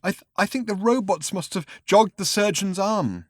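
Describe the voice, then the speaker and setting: A man with an English accent voicing a drugged, distraught, half-mad journalist in a strained, hoarse, whimpering voice with melodramatic delivery for an audiobook. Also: high voice, animated, normal speed, no echo, clean studio sound, voice pushed up. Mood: whimpering distress. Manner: stammering, melodramatic outbursts.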